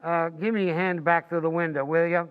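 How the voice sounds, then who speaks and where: Man acting a high-pitched, blustering radio-comedy husband. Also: little pitch movement, fairly quick, clean tone, lo-fi sound, lightly acted. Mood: grumbling. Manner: folksy, blustering exclamations.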